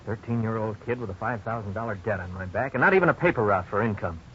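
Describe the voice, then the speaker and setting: An actor playing a worried young husband, frank and resigned, in a 1950s radio drama. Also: male voice, fairly low pitch, fast, a bit bright, natural voice. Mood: rueful. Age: young adult. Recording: dull, hissy 1950s radio recording.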